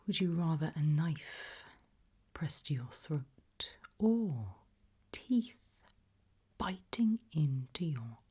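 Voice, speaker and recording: A woman whispering in a breathy, hushed and deliberate ASMR style, putting questions to the listener. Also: sits low, very animated. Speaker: ASMR host.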